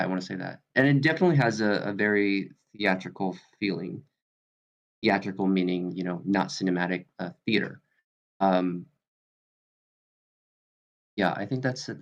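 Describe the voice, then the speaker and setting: A man giving casual feedback on an online meeting call, halting as he searches for words. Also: deep voice, gravelly, lo-fi sound. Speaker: table read participant giving feedback.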